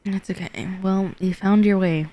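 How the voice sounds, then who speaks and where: A woman's voice: medium pitch, steady tone, normal speed, warm tone, faint music behind. A woman streaming a game, giving informal, emotive reaction commentary into a close mic. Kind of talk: casual gameplay reaction commentary.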